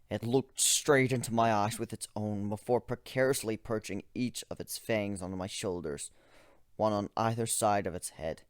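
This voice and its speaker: A man narrates a story in the first person with dramatic delivery. His voice sits fairly low, and it is recorded close and dry, with little room sound. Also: dry recording.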